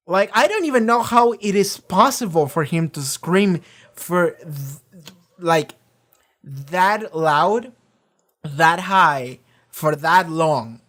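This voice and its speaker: A reaction video host in a casual, rambling discussion, often talking loudly, swearing freely and filling pauses with lots of "like" and "um". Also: mid-range voice. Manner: swears freely, many fillers and restarts; often talks loud.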